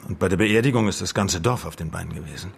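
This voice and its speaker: A man playing a sober, world-weary lawyer in a naturalistic radio drama, gravelly and husky, telling things in measured, clipped sentences. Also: sits low, slight background noise. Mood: somber, reflective. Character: male lawyer recalling an old case, sober, world-weary.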